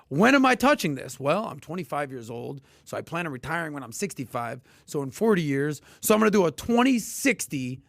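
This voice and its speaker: A man hosting a casual podcast and explaining investing in an emphatic, animated way, with sudden loud bursts. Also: a little high, vocal fry, dry recording, studio quality. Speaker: podcast host.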